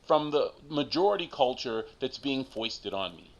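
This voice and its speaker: A man's voice: medium pitch, expressive, normal speed. A man in a casual group discussion, speaking emphatically and persuasively, often ending points with "right?". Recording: dull lo-fi audio.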